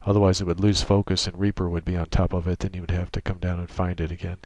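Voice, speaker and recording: A man giving an unscripted software tutorial in a methodical, technical way with halting phrasing, close on a USB microphone. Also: deep voice, monotone, no echo. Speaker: tutorial presenter.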